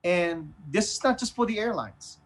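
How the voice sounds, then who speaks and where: A man co-hosting a casual current affairs chat, haltingly repeating phrases and checking in with short tag questions. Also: sits high, lively intonation. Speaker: talk show co-host. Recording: music bed behind the voice. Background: music.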